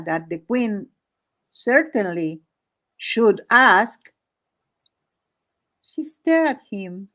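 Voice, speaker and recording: A woman who is not a native English speaker tells an improvised story casually, halting phrase by phrase with searching pauses. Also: medium pitch. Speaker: host of an art and story stream.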